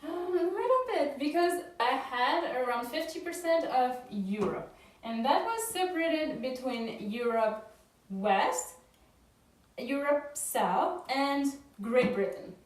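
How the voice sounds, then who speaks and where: Calm young woman, echoey and distant. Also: high voice. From France. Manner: calm, measured explaining. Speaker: vlog co-host. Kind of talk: casual chat. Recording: echoey room, off-mic.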